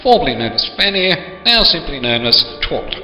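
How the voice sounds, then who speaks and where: A man's voice: on the high side, big pitch swings, normal speed, recorded from a distance, very noisy. A man reading scripted comic narration in a wry, mock-formal manner. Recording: dull, echoey, reverberant audio with faint music.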